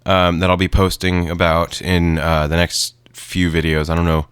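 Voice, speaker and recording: A man with a low voice chats off the cuff as he introduces some recordings. He feels his way through sentences, pausing for words, and the sound is dry with very little room. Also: monotone, a bit bright, clean tone, dry recording. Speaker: video creator introducing radio recordings. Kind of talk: casual unscripted commentary.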